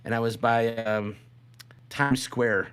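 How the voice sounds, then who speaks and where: A middle-aged man with an ordinary mid-pitched voice talks as a podcast co-host. He rambles casually, hesitating and repeating phrases as he circles toward his point. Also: steady tone, slow pace, fairly bright tone, smooth.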